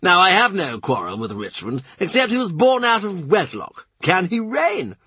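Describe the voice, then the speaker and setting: A man's voice: sits high, moderate pace, breathy edge, no echo, pitched above the performer's own voice, heavily acted. A frantic, blustering old nobleman. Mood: agitated, hectoring. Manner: excitable, lecturing, emphatic. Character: blustering panicky nobleman, older man. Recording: dry close recording.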